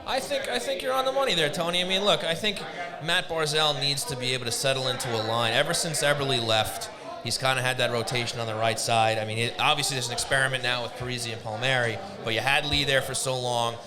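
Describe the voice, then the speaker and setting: A man's voice: medium pitch, quick pace, crowd noise. A man hosting a live sports talk show from a bar, addressing the audience like an emcee and handling sponsor reads. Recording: loud music behind, live bar. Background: loud music.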